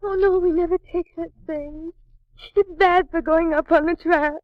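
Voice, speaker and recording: Very high-voiced, fragile trapeze girl. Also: steady tone, normal speed, no room sound. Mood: tearful, dazed. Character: fragile, upset young female trapeze performer. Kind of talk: 1940s radio melodrama acting. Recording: dull 1940s radio recording.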